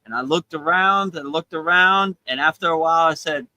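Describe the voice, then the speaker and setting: A man's voice: fairly high pitch, brisk, pushed and bright, dry recording. A man from Miami, Florida, hosting a live spiritual talk and telling stories in a chatty way, dropping in "you know" often and laughing between points. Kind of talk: casual spiritual storytelling. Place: outdoors in Thailand.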